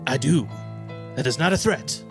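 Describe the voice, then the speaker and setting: A man's voice: on the high side, animated, normal speed, music in the background. A man voicing an angry, demanding man who makes menacing threats in a dramatic reading of messages. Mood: menacing, insistent.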